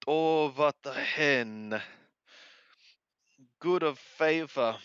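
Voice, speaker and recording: A man reading scripture aloud and translating it phrase by phrase, halting and deliberate, correcting his wording as he goes. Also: fairly high pitch, a bit bright, clean tone, no echo. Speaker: amateur Bible reader and translator. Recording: dull lo-fi close recording.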